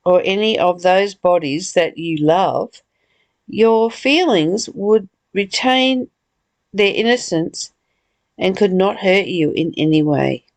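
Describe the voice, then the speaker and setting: Woman, study host, very slow deliberate delivery, casual reflective reading, dry close recording. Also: fairly low pitch, animated, a little mellow, no room sound. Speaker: host of a spiritual book study.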